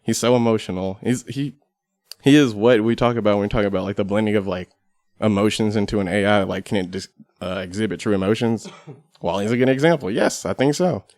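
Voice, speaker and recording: A man chatting casually as a podcast guest, piecing his thoughts together with pauses. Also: on the low side, a little mellow, no room sound. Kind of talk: casual panel discussion. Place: podcast studio.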